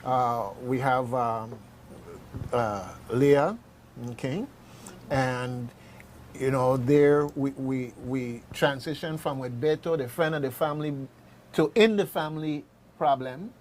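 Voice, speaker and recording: A man's voice: medium pitch, slow, darkish tone, a little hiss or hum. A man hosting a casual radio discussion, informal and jocular, often repeating his phrases as he goes. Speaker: radio host.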